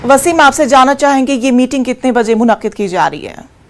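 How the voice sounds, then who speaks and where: A woman's voice: high voice, expressive, a little fast, slight background noise. A woman anchoring live television news, presenting headlines and putting questions to a reporter. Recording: music bed behind the voice.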